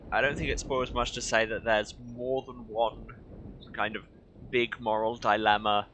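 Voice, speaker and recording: A man with a bright, slightly low voice gives casual commentary on a video game. He wanders into wry, rambling asides. There is faint noise in the background. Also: little pitch movement, measured pace. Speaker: let's play host.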